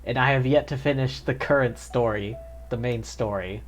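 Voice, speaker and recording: A man's voice: mid-range voice, moderate pace, faint music behind. A man doing casual live gameplay commentary as a streamer, talking to himself as he plays. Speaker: game streamer.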